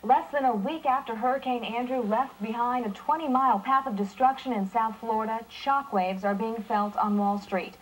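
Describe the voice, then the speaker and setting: An actress playing a composed television news anchor, reading scripted news in a serious manner. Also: female voice, a little high, steady tone, moderate pace, hardly any pauses, lightly acted, rough recording.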